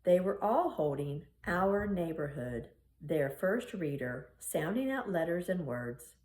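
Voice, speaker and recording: A woman reading a children's picture book aloud in an expressive storytelling style. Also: lowish voice, slow. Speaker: storybook reader. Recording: roomy, echoing room sound.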